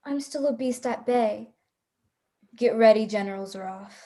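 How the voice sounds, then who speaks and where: A woman's voice: on the high side, normal speed, dark tone, natural voice. A woman playing a hunted, defiant hunter in a radio play, terse, dramatic and grimly resolute.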